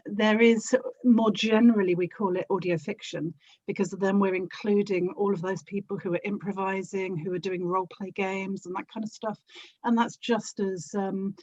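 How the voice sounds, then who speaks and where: A woman guest talks in a relaxed, conversational interview style. She has a South London accent and a middling pitch. The recording is a little muffled and lo-fi. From South London, England. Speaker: interview guest, audio drama actor and producer.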